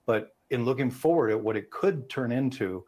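A man in his sixties with an American accent comments as a safety analyst on the news. His voice is mid-pitched and rough-edged, husky rather than smooth. He speaks over a video call from an indoor room. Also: expressive, moderate pace, a little mellow. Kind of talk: expert commentary in a news interview.

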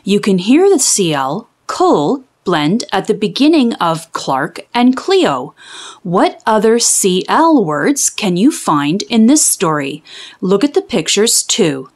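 A woman reading a children's storybook aloud, with expressive, deliberate enunciation for young children. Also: mid-range voice. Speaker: children's read-aloud host.

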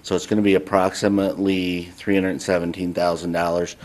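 City admin guy at a council meeting, real deep voice, monotone. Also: a little fast. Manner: plain, even update with uh fillers.

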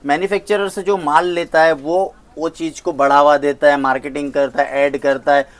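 A fairly high-pitched man in his thirties talks up his wares with emphatic, persuasive conviction. He walks and gestures through a large indoor showroom as he speaks. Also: level tone, moderate pace, no echo. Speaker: saree wholesaler. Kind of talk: sales pitch in a shop interview.

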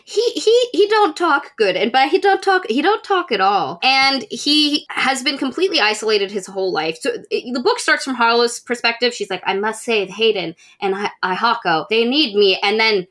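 A young woman giving a casual, comic book recap as a podcast co-host, animated and slipping into mock quotes. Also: on the high side, normal speed, hardly any pauses. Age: young adult. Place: small room at home with bookshelves.

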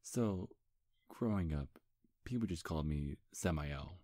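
A man's voice: low-pitched, lively intonation, unhurried, warm tone, no room sound, studio quality. A man playing a charming, teasing demon seducer in an intimate ASMR roleplay, sly and flirtatious, with hesitant stammers. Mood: flirtatious, coy. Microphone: close, dry microphone.

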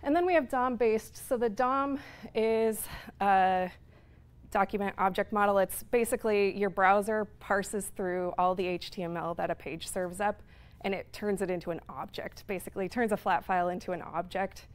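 A woman's voice: medium pitch, normal speed. A woman in her thirties giving a technical talk in a lecture room, explaining at length with frequent fillers. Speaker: conference speaker. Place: lecture room with projector screen.

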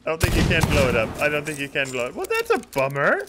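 A man chatting casually while playing a co-op game, excitable and jokey, often exclaiming. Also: on the high side, fast. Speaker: gaming video host and player. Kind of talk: casual chat during co-op game play. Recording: loud game noise and clicks behind. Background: pirate video game sounds.

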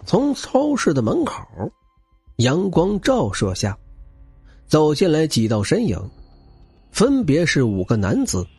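A man reads an audiobook with very animated, dramatic delivery. His voice is husky and a little high for a man, with faint music behind it. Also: takes it slowly, long pauses, dry recording. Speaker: audiobook narrator. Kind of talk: dramatic scripted narration.

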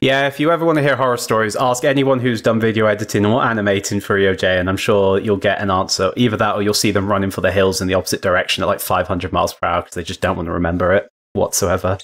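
A man's voice: on the low side, little pitch movement, quick pace, few pauses, a bit bright. A man hosting an online live panel in casual, rambling chat. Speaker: panel host. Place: online voice call.

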